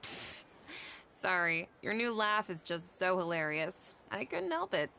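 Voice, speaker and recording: A woman's voice: fairly low pitch, very animated, unhurried, vocal fry, bright, forceful tone, slight background noise, exaggerated voice. A woman playing an earnest, polite young woman in a video game, sincere and a little hesitant. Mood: amused. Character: earnest, weary young woman from the desert, deferential.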